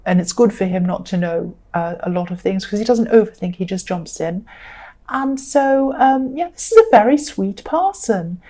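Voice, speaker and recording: A woman reading tarot cards to her viewers, her voice mellow and a little croaky. She talks gently and loosely, circling back on her phrases and pausing on "um" as she works out what she sees. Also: medium pitch. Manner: gentle, repetitive, thinking aloud with fillers. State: slightly croaky. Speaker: tarot reader. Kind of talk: solo tarot reading to camera.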